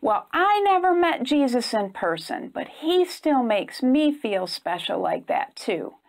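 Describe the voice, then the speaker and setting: High, slow, lively woman reading to children. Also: vocal fry. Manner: expressive, simple phrasing aimed at young children. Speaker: children's storytime reader and host. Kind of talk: animated children's storybook read-aloud.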